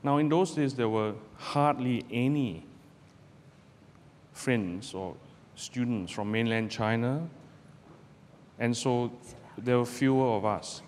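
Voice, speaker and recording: A man's voice: medium pitch, darkish tone. Man speaking in a reverberant hall. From Malaysia. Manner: measured, deliberate delivery with pauses. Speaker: barrister giving a guest talk. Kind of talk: public speech to students. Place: lecture hall, speaking from a podium. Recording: roomy hall with long reverb, faint background noise.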